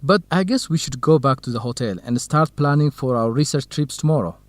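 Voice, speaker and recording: A friendly, curious male field researcher acted by a man in a radio drama. He explains things conversationally in a mid-pitched voice, recorded close and dry. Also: expressive, a little fast, dry recording, close to an everyday voice. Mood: lighthearted. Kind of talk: scripted educational radio drama dialogue.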